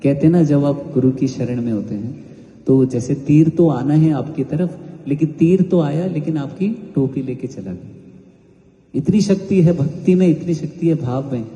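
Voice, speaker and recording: Dark-voiced Indian man in an echoey hall. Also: a little high, normal speed, highs cut off. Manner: storytelling, mixing Hindi and English freely. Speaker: devotional singer giving satsang testimony. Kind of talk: devotional testimony talk. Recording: echoey hall with long reverb.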